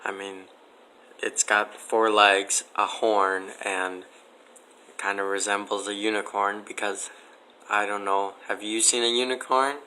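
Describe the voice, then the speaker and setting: A man giving casual, matter-of-fact interview answers in a deadpan way. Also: fairly low pitch, unhurried, slight background noise, low-quality recording.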